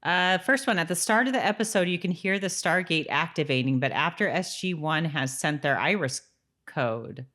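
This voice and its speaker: Female podcast co-host, notably low voice, informal and conversational, moves between casual chat and reading notes. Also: moderate pace, a bit bright. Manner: informal, frequent "like" and "you know". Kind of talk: casual chat, reading trivia and goofs aloud.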